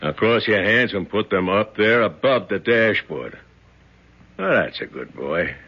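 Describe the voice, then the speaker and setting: Casting: unhurried, low-voiced mob henchman, mocking and menacing, muffled old radio drama. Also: animated. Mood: taunting threat. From Naples, Italy. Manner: slow, taunting tough-guy talk. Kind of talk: 1970s radio drama acting. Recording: muffled, noisy 1970s radio broadcast.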